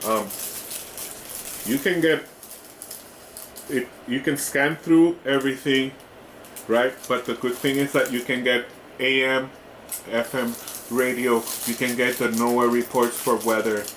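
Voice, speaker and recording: A man giving a casual hands-on product demo in a halting way, often checking in with the listener. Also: mid-range voice, steady tone, a bit bright. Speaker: product reviewer. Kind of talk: casual hands-on unboxing demonstration. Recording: roomy echo with clear background noise.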